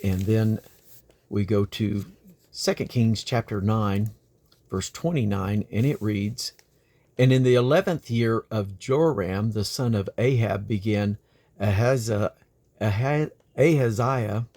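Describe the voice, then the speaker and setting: A man's voice: on the low side, a little slow, warm tone. Man reading scripture aloud. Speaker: scripture reader.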